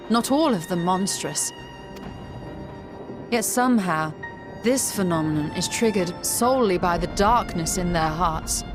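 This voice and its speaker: An actress playing a learned woman who calmly explains old magic in a game cutscene. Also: mid-range voice, close to an everyday voice. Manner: explanatory, composed. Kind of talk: video game cutscene acting. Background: orchestral music.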